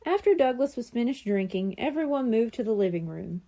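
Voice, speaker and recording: A woman's voice: fairly high pitch, very animated, a little fast, dark tone. A woman narrating a scripted children's audiobook.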